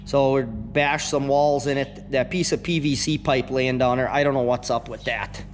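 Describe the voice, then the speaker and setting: A middle-aged man, a farmer, telling a rambling story in a plainspoken way with many pauses and ums, recorded on a camera inside a big old wooden barn. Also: medium pitch, steady tone, slight background noise. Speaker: farmer vlogger. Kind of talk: casual walkthrough narration. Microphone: action camera, held or set nearby.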